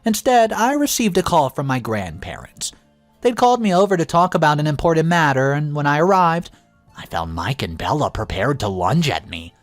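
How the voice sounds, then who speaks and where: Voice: mid-range voice, big pitch swings, normal speed, no room sound. A narrator reading a scripted first-person story in a dramatic storytelling manner. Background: music.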